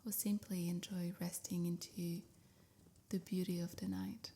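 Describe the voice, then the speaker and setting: A woman softly guiding a sleep meditation in a breathy near-whisper, with soothing, measured phrasing. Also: lowish voice, little pitch movement, slight background noise. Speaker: meditation guide. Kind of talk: guided sleep meditation, scripted.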